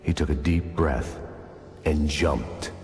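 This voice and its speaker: An actor playing a grave, ominous storyteller in a video game, a man narrating in a measured, solemn way. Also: extremely low pitch, over music. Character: grave, ominous male third-person storyteller. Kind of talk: scripted audiobook-like game narration.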